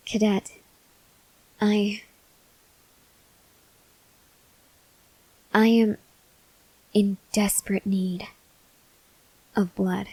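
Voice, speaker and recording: A woman playing an earnest, desperate female vampire scientist in an ASMR roleplay, speaking haltingly in a breathy voice that drifts into whispers. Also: medium pitch, flat delivery, a little mellow, no room sound, exaggerated voice, rough recording. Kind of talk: ASMR roleplay, slow dramatic monologue to the listener.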